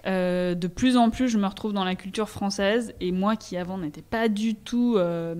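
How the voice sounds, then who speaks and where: A woman's voice: mid-range voice, normal speed, darkish tone. A young woman from France with a French accent, talking candidly and casually as an interview guest, often hesitating as she searches for words. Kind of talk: casual conversational interview, personal account.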